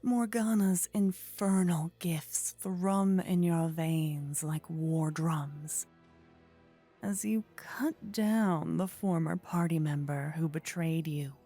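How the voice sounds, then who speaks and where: A woman narrating a scripted second-person fantasy story in a soft, whispery ASMR style with measured delivery. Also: sits low, faint music behind. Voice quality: breathy, whispery. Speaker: narrator and voice actor.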